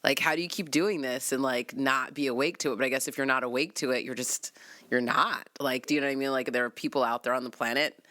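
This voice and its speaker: A woman's voice: rumbling low, steady tone, quick pace, fairly bright tone, no echo. A woman chatting casually and effusively as a cast member in a podcast conversation. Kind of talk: casual chat.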